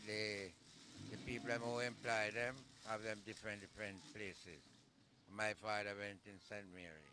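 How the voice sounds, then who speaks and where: A man in his nineties with a Jamaican accent, telling his life story in a halting, rambling way in an interview. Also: lowish voice, a bit bright, slight background noise. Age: 90s. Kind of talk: oral history interview.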